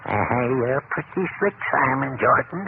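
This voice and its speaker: An actor playing a mean, greedy old miser in old-time radio drama, snarling and gloating. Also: male voice, medium pitch, lively intonation, a little slow, vocal fry. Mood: smug, gloating. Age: older. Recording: tinny, noisy old radio broadcast.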